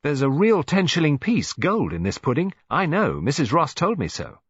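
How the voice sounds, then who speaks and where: A man's voice: mid-range voice, wildly swooping pitch, moderate pace, husky, dry recording, pitched above the performer's own voice, exaggerated voice. A man voicing a demanding, knowing young boy, on a dull, dry recording. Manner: demanding and pointed.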